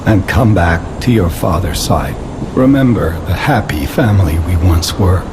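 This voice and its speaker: An older man playing a grave, sorrowful father in a video game, with a measured, paternal manner. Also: low voice, expressive, background noise. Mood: earnest, tender. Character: grave, sorrowful old father appealing to his son. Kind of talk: video game cutscene acting.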